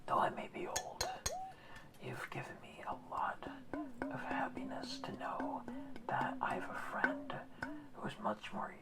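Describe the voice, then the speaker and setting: A woman voicing an old, kindly male orca guardian in an ASMR roleplay, speaking in a soft, slow, reassuring whisper. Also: sits high, steady tone. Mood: gentle whisper.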